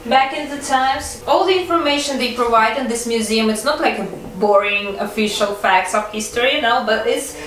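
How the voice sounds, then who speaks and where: Young woman, travel vlogger, high voice, chatty and excited, handheld camera, faint ambience. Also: normal speed, hardly any pauses, slight background noise, rough recording. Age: young adult. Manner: excited, chatty, with many "like"s and restarts. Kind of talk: casual travel vlog talk to camera. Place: outdoors on a farm and inside a small historic house.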